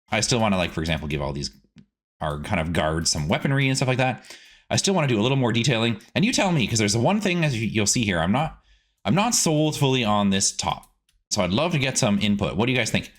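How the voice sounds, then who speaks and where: A man giving casual gameplay commentary as a streaming host, chatty and animated, rambling as he goes. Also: medium pitch.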